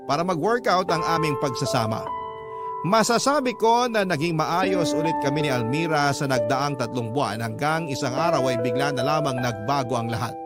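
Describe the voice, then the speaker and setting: Man acting as earnest boyfriend narrator over loud music. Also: medium pitch, natural voice. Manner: earnest, reflective. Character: lovelorn boyfriend confessing his story to host. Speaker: letter-sender narrating his love story. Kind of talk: confessional scripted radio drama narration.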